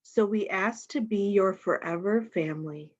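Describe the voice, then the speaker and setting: A woman voicing a calm, comforting father in a children's storybook, speaking softly. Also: medium pitch, measured pace, lo-fi sound, lightly acted.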